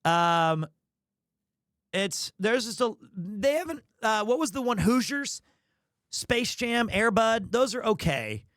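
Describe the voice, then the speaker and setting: A male livestreamer with a notably high voice for a man. He rambles through his opinions in casual chat, sometimes playfully defending himself. The sound is very dry, with no room around it. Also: very animated, slow pace, a bit bright, dry recording. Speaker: live streamer, host of the show.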